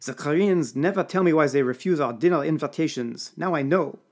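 A man's voice: a little high, brisk, voice pushed up, lightly acted. A man doing a frantic Belgian butler. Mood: vengeful. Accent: French. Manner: broken English, excitable, hissing. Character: panicky older male Belgian butler, fiery.

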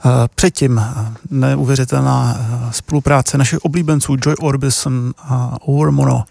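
Gravelly Czech man presenting radio. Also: medium pitch, steady tone, darkish tone, dry recording. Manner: hesitant, frequent filled pauses. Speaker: radio show host. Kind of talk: casual music radio presenting.

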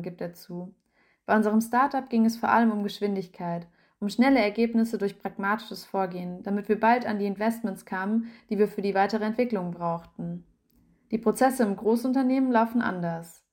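A woman narrating a written article aloud in an even, measured reading style. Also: medium pitch. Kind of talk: scripted narration.

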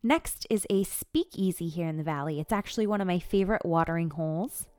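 A woman hosting a show, reading out and commenting on online bar reviews in an expressive, chatty way. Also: fairly low pitch, brisk. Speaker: podcast host. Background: music.

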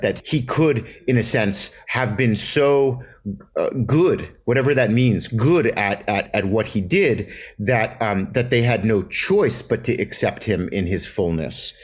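A man, a novelist being interviewed, answering at length in a reflective way, often restarting phrases with fillers. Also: on the low side. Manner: thoughtful, many restarts and fillers. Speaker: novelist guest.

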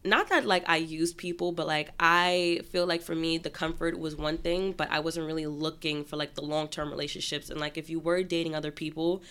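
A young woman with a low, bright and crisp voice talks quickly and earnestly in a casual chat. She leans on "like" constantly as she works through her feelings. Her delivery is smooth and clear. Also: fairly even intonation. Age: young adult. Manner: earnest, heavy use of "like".

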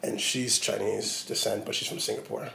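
Low gravelly guy in his 20s, lots of ums, kinda laid back, faint hiss behind. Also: level tone, normal speed, bright, forceful tone. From Portland, Oregon, USA. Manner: hesitant, many ums and likes. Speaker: interviewee. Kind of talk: relaxed conversational interview.

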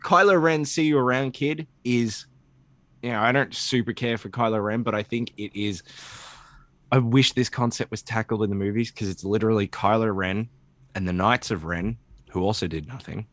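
A man hosting a podcast, chatting casually in an animated, rambling, joking and sweary way. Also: lowish voice, moderate pace, no echo. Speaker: podcast host. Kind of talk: casual chat about comics.

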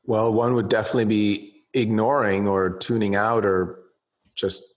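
A man's voice: lowish voice, level tone, normal speed. A male interviewer giving short conversational answers, sometimes with a light joke.

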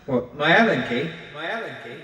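Male actor as exclaiming memory figure, swooping highish voice, comedy drama, echoing distant hall. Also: very animated, brisk. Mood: incredulous. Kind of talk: comedy audio drama acting. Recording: distant echoey hall, faint noise.

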